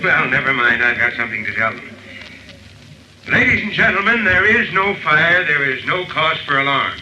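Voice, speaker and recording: Blustery old-radio fire chief, high-pitched. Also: male voice, very animated, normal speed, pushed and bright, full character voice. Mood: gruff, indignant. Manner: authoritative, blustery, blunt. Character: gruff, commanding, blunt male fire chief. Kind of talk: old-time radio drama acting. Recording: noisy, muffled vintage radio recording.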